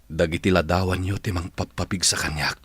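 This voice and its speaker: A man's voice: low voice, steady tone, brisk, husky, no echo, heavily acted. An actor with an Ilocano accent playing a remorseful, devoted businessman in an emotional radio drama, earnest and pleading. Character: remorseful, devoted businessman pleading with his long-lost love. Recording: radio drama with loud music bed.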